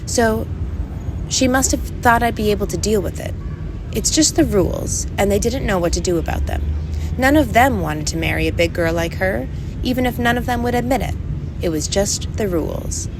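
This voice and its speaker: An audiobook narrator reading a story in an expressive, scripted style. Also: medium pitch, normal speed, background noise.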